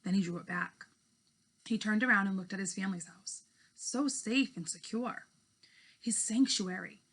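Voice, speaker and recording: A woman's voice: mid-range voice, moderate pace, a bit bright, breathy edge. A woman reading aloud and explaining like a schoolteacher, stressing key words and checking in with her listeners. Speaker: teacher reading aloud to fifth graders. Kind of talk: read-aloud lesson, storybook narration and informal explaining.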